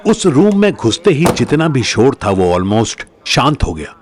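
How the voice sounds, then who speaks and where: A man's voice: mid-range voice, big pitch swings, unhurried, noisy background. A man narrating a scripted audio drama as a storyteller, in a dramatic style.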